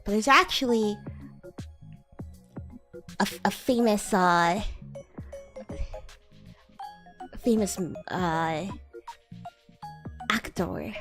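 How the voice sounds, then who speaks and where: A woman's voice: medium pitch, lively intonation, slow, long pauses, fairly bright tone, soft background music. A woman voicing a bratty, boastful young demon schoolgirl in an improvised roleplay stream, teasing and haughty with flustered stammers. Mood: chatty, hesitant. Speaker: VTuber streamer. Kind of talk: improvised roleplay livestream chatting with viewers.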